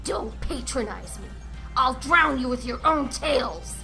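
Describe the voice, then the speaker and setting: A woman's voice: high-pitched, expressive, slow pace, vocal fry, pushed and bright, soft background music, exaggerated voice. A woman voicing a hot-headed young female tenrec fighter in a comic dub, cocky, snappy and sarcastic. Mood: irritated.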